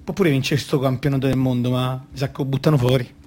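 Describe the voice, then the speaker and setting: A man's voice: medium pitch, steady tone, fast, a little mellow, lightly acted. A man playing a wistful, conflicted young retired football captain in a radio play, hesitant and justifying himself in colloquial speech. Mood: conflicted, uneasy. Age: young adult.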